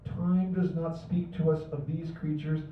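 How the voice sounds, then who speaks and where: A man's voice: fairly high pitch, level tone, measured pace, slight background noise. An older man reading his poem at a cafe open mic, chanting slogans and dropping to near whispers. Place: small cafe at night, indoors. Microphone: stand mic from a far camera. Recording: roomy, distant, dull livestream audio.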